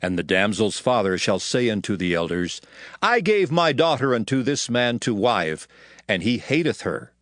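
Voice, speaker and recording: A man narrating an audio Bible as a dramatized scripture reading, in a solemn, measured and declamatory manner. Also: lowish voice, sing-song, fairly bright tone, no echo, studio quality. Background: music.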